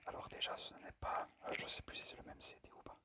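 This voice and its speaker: Guy whispering asmr style, breathy, kinda hesitant and apologetic, amateur show and tell. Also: fast. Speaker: amateur ASMR video creator. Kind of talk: whispered ASMR presentation of a collection.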